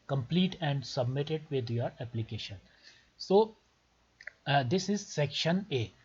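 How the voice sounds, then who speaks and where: Male tutorial presenter, Pakistani accent, Urdu native. Slightly low, husky voice, deliberate step-by-step delivery. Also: expressive, darkish tone. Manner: deliberate, repetitive explaining with short phrases. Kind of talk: step-by-step instructional screen tutorial. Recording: muffled lo-fi voice recording.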